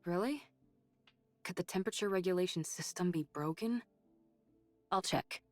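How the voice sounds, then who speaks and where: An actress playing a capable, protective young soldier and technician with an anxious streak in an anime game. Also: female voice, fairly low pitch, normal speed, darkish tone, no echo, lightly acted. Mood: puzzled. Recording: game music behind.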